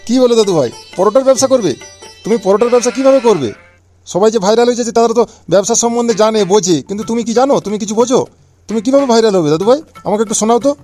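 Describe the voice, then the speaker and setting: A man's voice: very high voice, rapid-fire, mellow, faint music behind. A man voicing a doting old grandfather in a comic cartoon, indulgent and full of incredulous questions.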